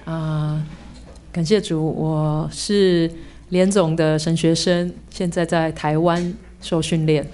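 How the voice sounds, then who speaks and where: A woman's voice: deep voice, level tone, warm tone. Young Taiwanese woman giving church testimony. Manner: measured, pausing for translation. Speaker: theology student giving testimony.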